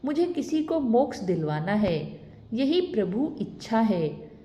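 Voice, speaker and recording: A woman's voice: on the high side, sing-song, slow pace, warm tone, slight background noise. A woman voicing a mysterious woman in a vision who reproaches and insists. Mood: reproachful. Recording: echoey reverberant room.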